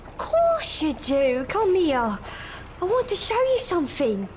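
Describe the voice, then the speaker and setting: A woman playing a reluctant, soft-hearted young London thief in an audio drama, with a weary, pleading manner. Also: very high-pitched, wildly swooping pitch, takes it slowly, very noisy, heavily acted. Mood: sugary, coaxing.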